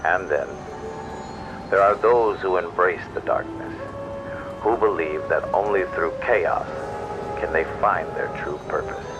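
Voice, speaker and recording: Man as a deep, slow, brooding night radio host. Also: highs cut off. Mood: brooding, solemn. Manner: slow, solemn, measured with long pauses. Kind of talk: scripted late-night radio monologue. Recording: loud music under the voice.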